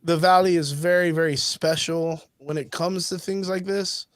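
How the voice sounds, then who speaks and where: Laid-back man, higher voice, dry sound. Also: fairly even intonation, normal speed, no room sound. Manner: laid-back, swears freely. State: slightly sick with a cough. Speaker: podcast co-host. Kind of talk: casual chat.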